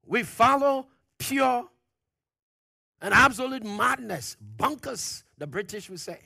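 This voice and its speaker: A man preaching a sermon, pressing his points with questions to the congregation, loud at the peaks and dropping low and quiet at phrase ends. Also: animated, slow, creaky, husky, dry recording, clean studio sound. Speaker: pastor.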